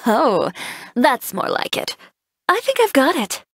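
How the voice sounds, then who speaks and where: A woman's voice: high-pitched, huge pitch swings, slow, fairly bright tone, breathy edge, no room sound, clean studio sound, exaggerated voice. A woman voicing a blunt, confident, haughty young female fashion designer for a video game, curt and dryly dismissive. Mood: focused, self-assured. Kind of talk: scripted video game character voice lines.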